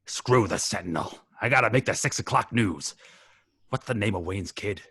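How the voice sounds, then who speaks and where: Man playing a low, husky politician. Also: lively intonation, normal speed, a bit bright, no echo, clean studio sound, exaggerated voice. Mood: brusque. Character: Rupert Thorne, gruff, insincere male politician. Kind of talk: script table read.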